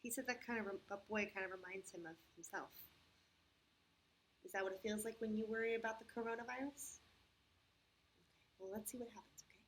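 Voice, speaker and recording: A woman hosting a story time for young children, talking in simple, patient, expressive phrases with frequent questions. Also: medium pitch, brisk, pauses often, slight background noise, low-quality recording. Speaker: children's church story host.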